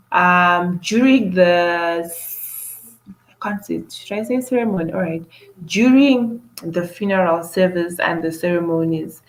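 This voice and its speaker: A woman's voice: lowish voice, poor audio. A woman from Zimbabwe hosting her own show, talking in a hesitant monologue full of "um" fillers and restarts. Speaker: show host. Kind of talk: casual monologue to camera.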